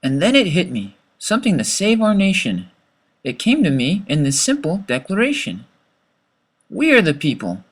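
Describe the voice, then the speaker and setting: A man reading a rhyming children's story as its author, with dramatic, expressive delivery. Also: a little high.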